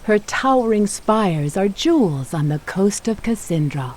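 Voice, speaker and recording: A fantasy game narrator delivers scripted lore at a slow, deliberate pace. The voice sits at a middling pitch and tells it with drama. Noticeable noise and ambient game sound run behind the narration. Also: big pitch swings, darkish tone.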